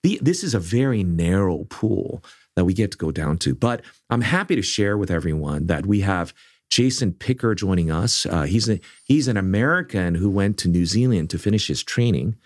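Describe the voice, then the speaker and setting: A middle-aged man born in South Korea, leading a seminary, speaking in an earnest, measured way with frequent restarts. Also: low-pitched, lively intonation, a little mellow, no echo, clean studio sound. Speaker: seminary leader. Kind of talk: conversational interview.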